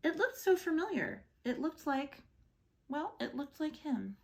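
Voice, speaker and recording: Woman, children's storybook read-aloud, expressive storyteller, slow pace, creaky edges to the voice. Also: sits high. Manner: expressive storytelling with short asides. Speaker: storybook reader.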